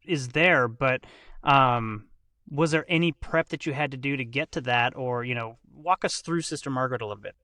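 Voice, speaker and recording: Bright-voiced man hosting a podcast. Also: medium pitch, no room sound, clean studio sound. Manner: effusive, praising, halting as he searches for words. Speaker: podcast host. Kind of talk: casual interview.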